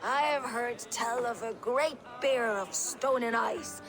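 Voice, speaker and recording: Female mystical giantess reveler, game cutscene, portentous, very slow, highish, clear music behind. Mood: mysterious, toasting. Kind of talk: video game cutscene dialogue.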